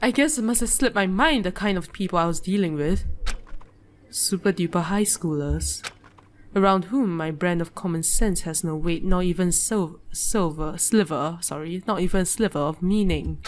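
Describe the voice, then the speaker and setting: A woman doing let's play commentary, reading game dialogue aloud with casual, animated asides. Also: on the low side, moderate pace, a little hiss or hum. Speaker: let's player. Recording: game music behind the voice. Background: video game music.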